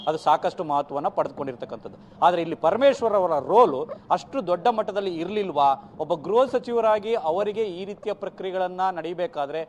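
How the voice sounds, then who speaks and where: A male reporter with a Kannada accent from Karnataka and a fairly high voice files a live report. He speaks in long, winding sentences, with faint ambient noise behind him. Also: darkish tone. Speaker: news reporter.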